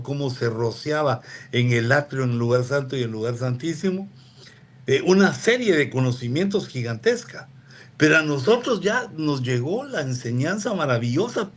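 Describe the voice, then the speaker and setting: Man giving a rambling radio sermon. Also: medium pitch. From Latin America. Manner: unhurried, digressive, with frequent asides to listeners. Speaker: apostle preaching on a radio program. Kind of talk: conversational sermon teaching.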